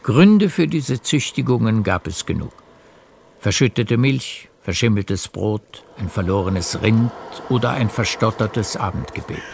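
A man narrating a literary radio play in a measured storytelling manner. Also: lowish voice, lively intonation, creaky, background noise. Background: music.

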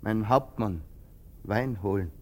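A man playing a poor, submissive, troubled soldier in a radio drama, speaking in a halting, brooding way. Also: fairly low pitch, a little mellow.

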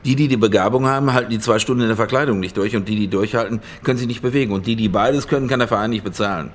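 A man's voice: lowish voice, very fast, lightly acted. An actor playing an imperious, slippery businessman client in a radio crime drama, brusque and insistent, with a joking streak. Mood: amused.

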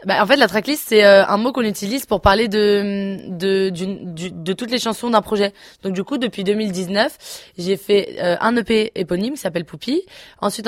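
A woman in her twenties, a singer answering interview questions casually, pausing often with fillers and restarts. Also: medium pitch, no room sound, studio quality. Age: 20s. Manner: hesitant, many fillers and restarts. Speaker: singer guest.

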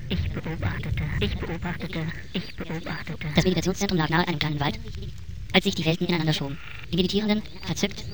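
A woman playing an enigmatic storyteller, narrating a surreal radio play in a deliberate, cryptic, incantatory manner. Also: on the low side, noisy background, close to an everyday voice. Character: enigmatic female storyteller addressing the listener directly.

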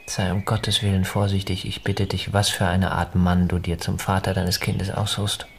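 An actor in a German radio drama playing an upper-class husband, possessive and anxious, with an insistent, mannered way of talking. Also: male voice, low-pitched, little pitch movement, moderate pace, slight background noise. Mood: pleading, condescending.

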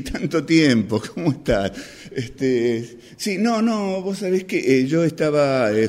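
A man's voice: on the low side, darkish tone, slight background noise. A man playing an evasive, flustered debtor in an improvised radio drama exercise, stammering and wheedling with an Argentine Spanish accent. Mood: falsely cheerful.

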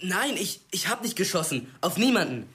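A young man playing a nervous, defensive schoolboy and petty thief in a German radio drama, speaking haltingly. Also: high voice, sing-song, sharp tone, full character voice. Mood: confessing, defensive.

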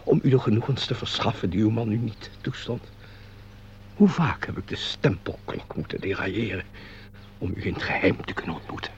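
An actor playing a formal, obsequious office clerk with a mannered, theatrical delivery in a Dutch radio play. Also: male voice, fairly low pitch, expressive, brisk, natural voice. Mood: hushed, confiding. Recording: dull, noisy old radio recording.

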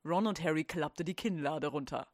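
A woman's voice: sits low, moderate pace. A woman reading scripted narration for a comic parody audio story.

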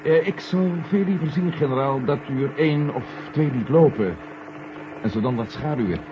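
A male actor plays a keen amateur sleuth in an old science-fiction radio drama. He speaks hesitantly and respectfully in a medium male pitch. The sound is dull and noisy. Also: moderate pace, close to an everyday voice. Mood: probing. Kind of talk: 1970 Dutch radio drama acting. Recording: muffled, noisy 1970 radio recording.

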